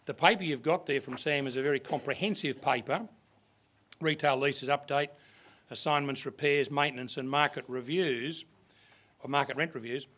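A man's voice: medium pitch, moderate pace, fairly bright tone. An older man with an Australian accent, a clerk giving a practical, plain introduction at a podium. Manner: practical, plain-spoken, with fillers. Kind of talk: seminar introduction. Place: conference room. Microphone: podium microphone.

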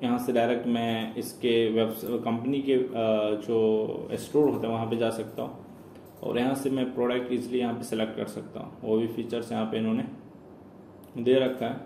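A man's voice: medium pitch, level tone, moderate pace, mellow. A man presenting a screen-recorded tutorial, explaining each step and calling his viewers friends. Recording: echoey room with faint noise.

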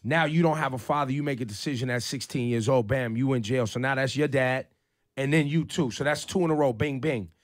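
A man's voice: mid-range voice, a little fast, dry recording. A man in his forties from California hosting a radio studio discussion, emphatic and full of rhetorical questions. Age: 40s. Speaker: radio host. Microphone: studio mic on boom, headphones.

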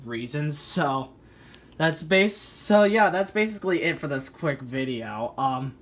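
A man giving a casual, halting show-and-tell as a hobby vlogger, thinking aloud behind a handheld camera at home. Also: fairly high pitch, expressive, fairly bright tone. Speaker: hobby vlogger showing a weather radio collection. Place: indoor room at home. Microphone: handheld camera or phone, behind the lens. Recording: noisy amateur home camera recording.

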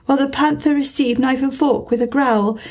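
A woman's voice: sits high, measured pace, a little mellow, breathy edge, exaggerated voice. A woman voicing Alice, a polite and puzzled young girl who recites timidly in a trembling voice.

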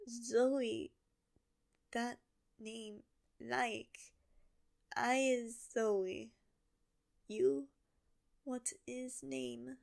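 A woman voicing a sleepy, friendly zombie girl who talks in slow, broken, simple words for an ASMR roleplay. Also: fairly high pitch, fairly even intonation, vocal fry, a little mellow, heavily acted. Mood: curious.

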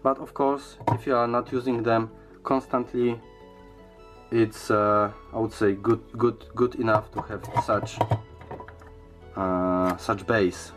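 A man giving a casual unboxing and product review in a halting, hesitant way, often repeating words. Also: on the low side, steady tone, soft background music.

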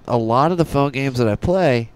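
A man in casual, playfully challenging podcast chat, questioning and animated, speaking close to a studio mic. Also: medium pitch, moderate pace, clean tone. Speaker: podcast co-host. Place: small podcast studio room. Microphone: close studio mic with headphones.

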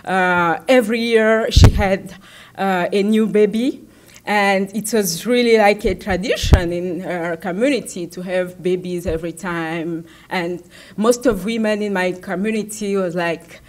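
A young woman from the Democratic Republic of Congo giving a talk at a podium in a conference room, pausing often with fillers and repeating words. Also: mid-range voice, fairly even intonation. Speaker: activist speaker. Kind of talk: presentation at a podium. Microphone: podium microphone.

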